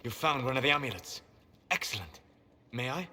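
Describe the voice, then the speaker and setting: Bright, echoing scholarly male mage. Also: medium pitch, lively intonation, brisk. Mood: calm, explanatory. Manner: precise, formal. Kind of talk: video game voice acting. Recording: long reverberant hall, faint noise.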